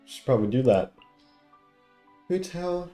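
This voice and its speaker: A man's voice: medium pitch, expressive, measured pace, mellow, roomy sound. A man giving casual game playthrough commentary, with offhand reactions and dialogue read aloud. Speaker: gaming content creator. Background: game music.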